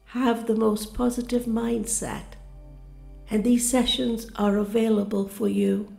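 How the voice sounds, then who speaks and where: A woman narrating a scripted guided meditation with soothing affirmations in a calm, gentle, deliberate manner. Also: fairly high pitch, vocal fry. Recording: roomy reverberant voice over music. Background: music.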